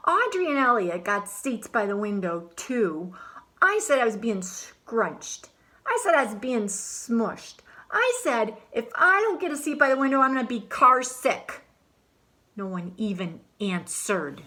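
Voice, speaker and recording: A woman's voice: mid-range voice, sing-song, slow pace, fairly bright tone. A woman reading the lines of a grumpy young boy from a picture book, complaining and emphatic. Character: grumpy young boy having a bad day. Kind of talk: picture book read aloud.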